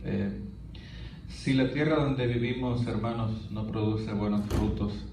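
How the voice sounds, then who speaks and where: Guy giving his testimony at church, kinda flat and low, mic far off in a big echoey room. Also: noisy background. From Honduras. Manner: earnest, halting, with frequent restarts and fillers. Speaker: guest speaker giving testimony. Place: church hall. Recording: distant, roomy, dull church recording.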